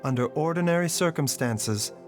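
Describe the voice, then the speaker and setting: An actor playing a calm, compassionate doctor in a video game, speaking in a gentle, formal, measured way. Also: male voice, fairly high pitch, natural voice. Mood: calm, grave. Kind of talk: dramatic video game voice acting. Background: background music.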